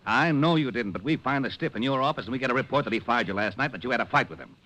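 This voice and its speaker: An actor playing an exasperated, no-nonsense police lieutenant in a 1940s radio drama. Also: male voice, mid-range voice, lively intonation, racing, pushed and bright, poor audio.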